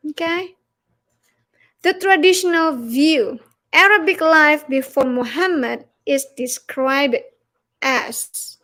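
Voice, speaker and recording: A woman with an Indonesian accent presenting a religious lecture, speaking haltingly and emphatically in challenging rhetorical questions. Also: high voice, fairly bright tone. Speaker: presenter of a religious teaching series.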